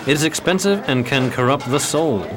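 Man acting courtly 1920s gentleman gambler, lightish voice. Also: a little high, animated, moderate pace, a crowd in the background, lightly acted. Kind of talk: 1920s period radio theater acting.

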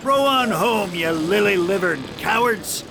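Guy doing a nasty taunting pirate, high voice, swoopy, loud noise all round. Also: animated, a little slow, creaky, full character voice. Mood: scornful. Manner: taunting, coarse. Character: jeering, bloodthirsty pirate crewman. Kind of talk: radio drama acting.

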